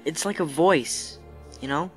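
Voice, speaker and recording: A woman's voice: sits low, a little slow, soft background music. A woman playing a troubled, defensive schoolboy with a sullen manner in an audio drama.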